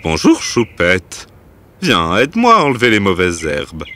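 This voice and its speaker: A man playing a kind, wise uncle who gently instructs his young niece in an animated fairy tale. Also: low-pitched, a little slow, a little hiss or hum, heavily acted. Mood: calm, encouraging.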